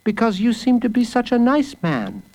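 A man's voice: extremely high pitch, huge pitch swings, moderate pace, darkish tone, voice pushed up, full character voice. A man voicing a polite, cheeky young boy who says his answers twice. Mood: innocent. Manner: repeats his lines twice, sweetly.

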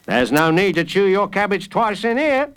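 A sour storybook greengrocer, acted by a man reading aloud, a man quick to take offence. His voice is raised and forceful. He is curt, grumpy and indignant with children. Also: high voice, big pitch swings, clear, resonant tone, dry recording, pitched above the performer's own voice. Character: grumpy two-faced male greengrocer, easily insulted.

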